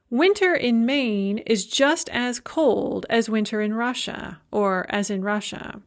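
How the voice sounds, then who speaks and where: A woman lecturing on Russian grammar, speaking clearly and measuredly, and slowing down to model the Russian example sentences. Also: a little high, fairly bright tone. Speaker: university Russian language lecturer. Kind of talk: recorded language lecture.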